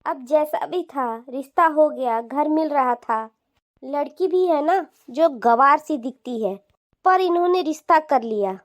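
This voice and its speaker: A woman's voice: high-pitched, animated, unhurried. A woman voicing a scornful, bossy middle-aged mother-in-law with a mocking, dismissive way of talking in a cartoon family drama. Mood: dismissive gossip. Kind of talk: animated family drama dialogue.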